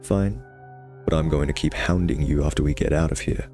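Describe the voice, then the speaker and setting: A man's voice: very deep voice, normal speed, warm tone, faint music behind. A man playing a cocky, sardonic vampire nemesis who teases and taunts the listener in an intimate ASMR roleplay. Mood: smug, teasing.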